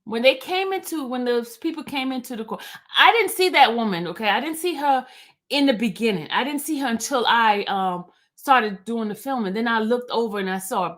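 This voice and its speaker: A New woman hosts a casual livestream in an animated, chatty way, often wandering off topic and answering her viewers directly. Her voice sits somewhat high shows. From New York. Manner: animated, chatty, digressive, talks straight to chat. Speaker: YouTube livestream host. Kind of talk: casual livestream chat reading viewer comments.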